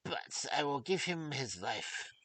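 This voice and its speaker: A man's voice: mid-range voice, sing-song, takes it slowly, fairly bright tone, recorded from a distance, voice pushed up, exaggerated voice, poor audio. A man voicing a small, spiteful, gloating dwarf in a raised, pinched voice while reading a fairy tale aloud.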